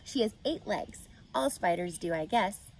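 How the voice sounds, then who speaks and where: A woman's voice: medium pitch, expressive, measured pace, a little hiss or hum, low-quality recording. A woman voicing an eager, matter-of-fact young farm girl in a children's storybook, chatty and earnest. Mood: thoughtful, earnest. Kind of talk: children's storybook read-aloud.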